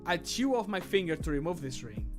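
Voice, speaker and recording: Dramatic male fantasy game character read by a man, slightly high voice, soft music behind. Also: very animated, fairly quick. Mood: grim. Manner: shouted battle cries in combat lines. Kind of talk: recording game voice lines.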